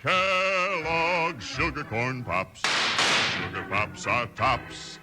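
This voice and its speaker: Slow-paced male jingle singer over loud music. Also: medium pitch. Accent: American. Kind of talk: sung 1950s radio cereal jingle. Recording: vintage 1950s radio recording.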